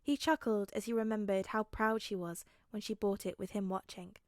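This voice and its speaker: A woman's voice: mid-range voice, fairly even intonation, normal speed, dry recording, studio quality. A woman reading a story aloud as scripted audiobook narration. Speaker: audiobook reader.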